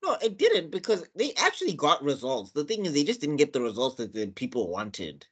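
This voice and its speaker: A man's voice: a little high, wildly swooping pitch, fairly bright tone, breathy edge. Man rambling through a TV recap. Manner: rambling, halting with many fillers and restarts. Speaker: co-host recapping a TV episode. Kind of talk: casual chat.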